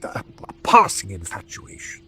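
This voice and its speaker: An actor playing a courteous but embattled submarine captain in his forties, formal and measured, in a wartime radio drama. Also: bright, forceful tone, slight background noise, natural voice. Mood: guilty, pleading. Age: 40s. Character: forty-year-old German U-boat captain, courteous but embattled.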